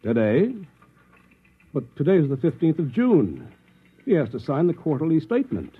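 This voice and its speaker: An actor with an American accent playing an uneasy, puzzled married accountant in an old-time radio drama, speaking in a husky, hesitant way. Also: male voice, on the high side, wildly swooping pitch, normal speed, exaggerated voice. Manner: hesitant, brooding, questioning. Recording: muffled phone-like old radio recording.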